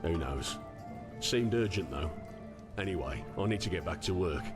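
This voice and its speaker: A man's voice: sits low, animated, normal speed, over music. An actor playing a folksy, gossipy working townsman in a fantasy video game, informal, knowing and matter-of-fact. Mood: casual, knowing. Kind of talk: video game character dialogue.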